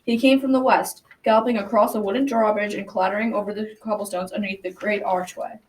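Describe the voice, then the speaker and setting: A woman's voice: mid-range voice, fairly even intonation, quick pace, a little mellow, lightly acted. A teenage girl playing a folksy old grandfather who tells his grandchildren a tale in a school radio drama. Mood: storytelling. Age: young teenager. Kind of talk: scripted storytelling. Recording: distant mic, clear background noise.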